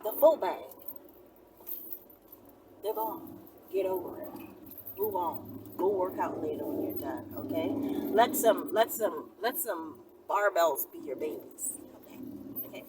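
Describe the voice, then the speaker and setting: A woman's voice: mid-range voice, expressive, a little slow, pauses often. A woman host talking casually to her viewers in an emphatic, sassy way, often checking in as she goes. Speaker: channel host answering viewer questions. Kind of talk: casual, animated monologue to viewers. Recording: noisy, distant-sounding mic.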